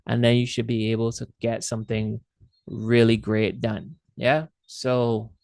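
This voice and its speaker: A man's voice: medium pitch, level tone, measured pace, vocal fry, darkish tone, dry recording. A man teaching an online class as instructor, speaking casually and loosely with fillers and restarts, heard over a video call.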